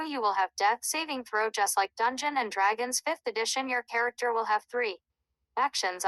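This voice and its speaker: A woman's voice: mid-range voice, pushed and bright, no room sound, rough recording. A woman giving an informal, plain-spoken tutorial on the rules of a tabletop role-playing game.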